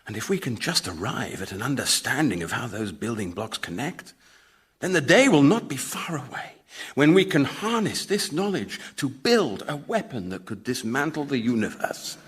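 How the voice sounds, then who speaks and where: An actor playing a pompous, blandly ruthless senior civil servant in a radio comedy drama. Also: male voice, medium pitch, big pitch swings, normal speed, fairly bright tone, heavily acted. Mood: grandly official. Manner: pompous, smoothly bureaucratic.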